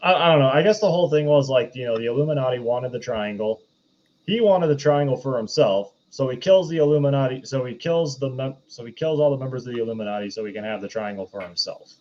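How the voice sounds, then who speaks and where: Lo-fi muffled sound, man, medium pitch, podcast co-host, casual explanatory chat. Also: fast, darkish tone. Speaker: podcast co-host discussing films. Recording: slightly dull, lo-fi microphone sound.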